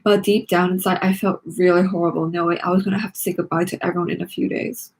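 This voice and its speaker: A woman's voice: fairly low pitch, flat delivery, fast, rough recording. A woman reading a children's story aloud with dramatic expression, acting out the lines. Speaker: read-along narrator of a children's book.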